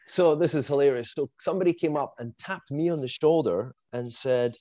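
Scottish male guest, casual anecdote teller, lively and profane, mid-pitched, dry recording. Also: moderate pace, no room sound, rough recording. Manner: animated, swears, laughs while telling, acts out quotes. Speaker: guest fan telling a story. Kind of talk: casual storytelling chat.

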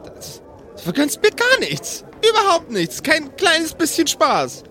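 A man's voice: very high voice, huge pitch swings, a little slow, pushed and bright, pitched above the performer's own voice, exaggerated voice. A man voicing a mischievous, pouting young woman, a defiant and teasing little sister in a tabletop game. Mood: sulky, indignant.

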